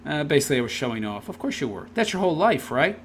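A man's voice: on the high side, sing-song, fast talker, slight background noise. A man reading a text aloud and tearing into it with sarcastic, scornful commentary. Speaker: commentary video host.

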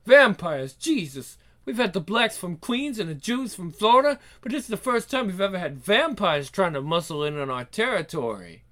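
A man's voice: high-pitched, sing-song, normal speed, hardly any pauses. A man playing a crude, blustering mafia don with a sneering, menacing manner. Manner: sneering, swearing, forceful. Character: brash male mob boss, crude, menacing, blustering.

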